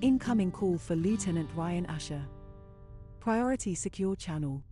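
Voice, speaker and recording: A woman's voice: on the low side, expressive, moderate pace, over music, close to an everyday voice. A woman voicing an impassive ship's computer in a sci-fi audio drama, speaking in clipped, formal, procedural phrases. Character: impassive female ship's computer announcing calls.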